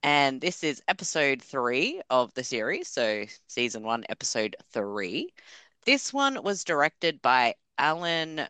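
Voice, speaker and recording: An Australian woman hosts a discussion podcast. Her voice is very low and bright, with lots of melodic movement. She switches between conversational talk and reading notes. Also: very animated, takes it slowly, clear, resonant tone, no room sound. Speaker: podcast host.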